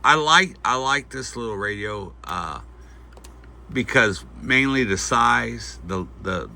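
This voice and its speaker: A man giving a casual, unscripted product demonstration, talking in a halting, folksy way as he thinks aloud. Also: mid-range voice, animated, gravelly, sharp tone. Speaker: host of a gear review channel. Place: indoors at home at night. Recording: faint background noise.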